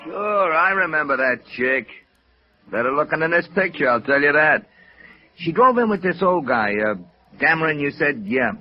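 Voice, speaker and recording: Actor as slangy, animated filling station man. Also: a little high, moderate pace, lightly acted. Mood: chatty. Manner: casual, slangy, plain-spoken. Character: talkative, slangy filling station attendant, sympathetic. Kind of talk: 1950s radio drama acting. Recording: dull old radio recording with music.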